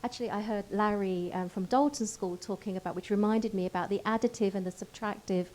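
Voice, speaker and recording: A woman with a warm, mellow voice moderates a panel discussion. She asks frank, probing questions and hands them on to the other speakers. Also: medium pitch, steady tone, brisk, rough recording.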